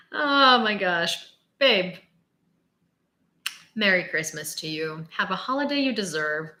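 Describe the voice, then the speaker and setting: A woman's voice: mid-range voice, expressive, pushed and bright. A Ukrainian-born woman in her late twenties with a near-American sound, hosting a live news stream and chatting sassily with viewers. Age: late 20s. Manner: chatty, sassy, quick asides to chat.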